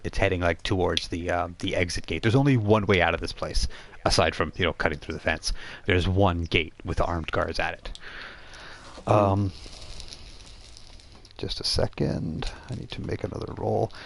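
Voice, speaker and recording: Low-voiced man narrating a tabletop game. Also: moderate pace, gravelly, slight background noise. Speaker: game master. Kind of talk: improvised narration of a tabletop role-playing game.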